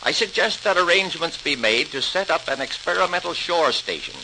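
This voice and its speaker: An actor playing an authoritative senior official who presides over scientific tests, formal and decisive, in an old radio docudrama. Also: male voice, fairly high pitch, lively intonation, fairly quick. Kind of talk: 1940s-style radio docudrama acting. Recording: noisy, sizzling old-time radio recording.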